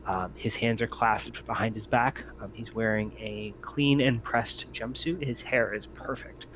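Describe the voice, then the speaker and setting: A man improvising descriptive narration as game master of a tabletop game, in a halting way. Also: fairly low pitch, fairly even intonation, fairly bright tone. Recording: dull audio with faint noise.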